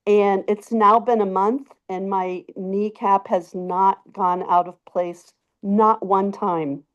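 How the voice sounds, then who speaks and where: A woman of average pitch speaks as a participant sharing a testimonial. She tells it like a casual story, in conversational phrases with asides and emphatic repeats. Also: fairly even intonation, slow, dull audio. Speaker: webinar participant giving a testimonial.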